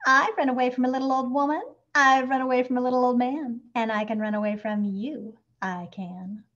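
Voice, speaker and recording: Lady putting on a bratty little gingerbread boy from a storybook, high and fast, bragging sing-song. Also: steady tone, a bit bright, muffled recording. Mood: taunting.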